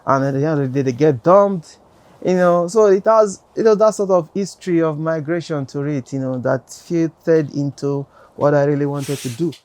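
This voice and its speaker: A man's voice: a little high, moderate pace, darkish tone, no echo. A male artist from Nigeria speaking reflectively about his work, constantly dropping "you know". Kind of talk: talking about his artwork.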